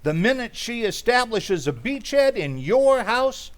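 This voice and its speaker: A man's voice: very high voice, sing-song, unhurried, heavily acted. A man playing a middle-aged, wry and henpecked working-class pal in old-time radio comedy, with sardonic, folksy speech. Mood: cynical warning.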